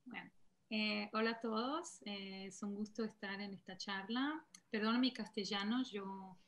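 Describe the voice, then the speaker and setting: A woman speaking through a video call worn soft by away. She tells her own story haltingly, filling gaps with ums and restarting words. Her pitch sits in a middling range. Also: fairly even intonation. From Argentina. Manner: hesitant, many fillers and self-corrections. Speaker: guest in an online panel talk. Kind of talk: casual personal story in an online chat.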